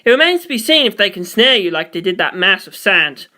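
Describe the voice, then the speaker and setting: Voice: mid-range voice, big pitch swings, fairly quick, bright, forceful tone. A reader voicing a tense male mission control officer in a space story, urgent and formal. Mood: alarmed.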